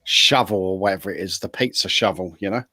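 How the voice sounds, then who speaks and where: Man, slightly low voice, casual practical advice, dry close sound. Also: fast, pushed and bright, dry recording. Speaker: show panelist, chef. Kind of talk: casual chat giving practical tips.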